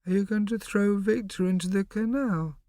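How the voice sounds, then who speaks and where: A man voicing a small, sleepy, curious boy who talks bluntly in a high childish voice. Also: moderate pace, dark tone, no echo, studio quality, close to an everyday voice.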